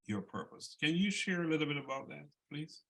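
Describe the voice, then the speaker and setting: A male interview host with a gravelly, bright, tense tone. He encourages his guest and stumbles slightly as he begins his questions. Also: on the high side, animated, fairly quick, poor audio. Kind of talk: casual interview.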